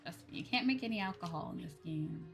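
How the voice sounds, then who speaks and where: Female game streamer, lowish register, casual chatty delivery with sing-song asides, music underneath. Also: lively intonation, quick pace, vocal fry. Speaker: livestreamer. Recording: music behind.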